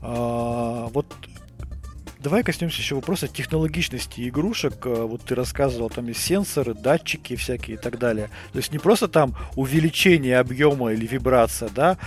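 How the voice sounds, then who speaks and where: A man's voice: mid-range voice, brisk, faint music behind. A man hosting a podcast, casually putting questions to a guest in conversational speech. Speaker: podcast host asking questions.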